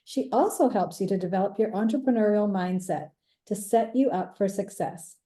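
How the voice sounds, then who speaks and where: A woman's voice: medium pitch, normal speed, mellow. A woman hosting an interview podcast, reading an introduction and asking encouraging questions. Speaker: podcast host.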